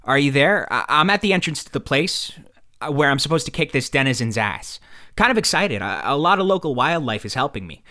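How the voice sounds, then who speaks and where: Man acting a bright-voiced, stammering young troll boy. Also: mid-range voice, sing-song. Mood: hesitant, eager. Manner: halting, stammering, self-doubting. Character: timid young male alien troll, anxious and eager to please. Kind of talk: audio drama monologue and dialogue.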